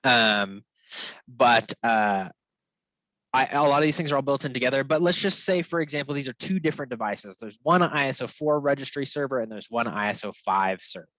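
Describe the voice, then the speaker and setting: A man's voice: mid-range voice, animated, brisk, a bit bright, no room sound. A man presenting technical material in an informal, conversational webinar style, often checking in as he explains. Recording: dull, lo-fi, dry close sound.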